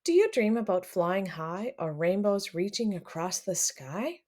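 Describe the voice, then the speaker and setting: A woman reads a children's picture book aloud in a mid-pitched voice with a very animated, swinging intonation. She is expressive and speaks straight to her young listeners as a storyteller would. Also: unhurried. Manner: expressive, addresses children directly.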